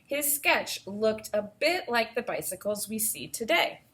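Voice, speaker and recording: A woman's voice: a little high, expressive, a little slow. Woman teacher reading aloud and explaining. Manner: explanatory, thinking out loud. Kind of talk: instructional read-aloud with think-aloud commentary.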